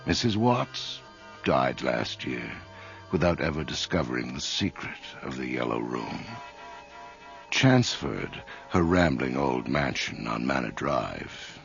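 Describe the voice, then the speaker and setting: An actor as a grave, ominous storyteller, narrating a radio drama in a solemn, measured way. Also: male voice, very deep voice, expressive, vocal fry, full character voice. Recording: dull radio recording, faint music.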